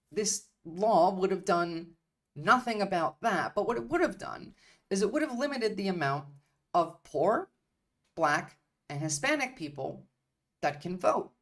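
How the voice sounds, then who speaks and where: A woman from Turkey giving blunt, profane livestream commentary on politics, repeating her points for emphasis. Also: low voice. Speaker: streamer.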